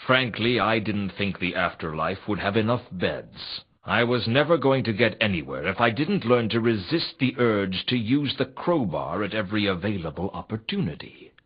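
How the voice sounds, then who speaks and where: A hardboiled private eye narrating his case in a video game. He is brooding and wisecracking, with a slightly husky edge and a very mobile, dramatic pitch under the deadpan wit. The audio is a little dull and lo-fi. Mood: deadpan, grim. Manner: deadpan, dry, brooding delivery. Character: world-weary, wisecracking male private investigator, hardboiled noir. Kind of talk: scripted noir detective narration for a video game.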